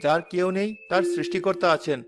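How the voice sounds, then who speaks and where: A man's voice: high-pitched, fairly even intonation, quick pace, natural voice. A man voicing a poor, devout villager in a cartoon moral story, earnest in manner. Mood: hopeful, grateful. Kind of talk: animated moral story voice acting.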